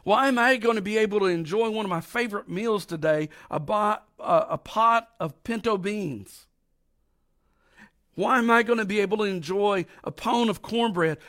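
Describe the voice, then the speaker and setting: A middle-aged man with a raspy voice from allergies, preaching a folksy, emphatic sermon with slow drawn-out stresses. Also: sits high, fairly bright tone. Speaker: pastor.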